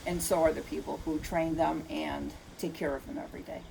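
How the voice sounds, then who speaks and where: Older female interview guest, lowish gravelly voice, earnest and conversational, faint noise behind. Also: steady tone, brisk, mellow, rough recording. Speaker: children's book author, interview guest. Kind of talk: unscripted interview answers.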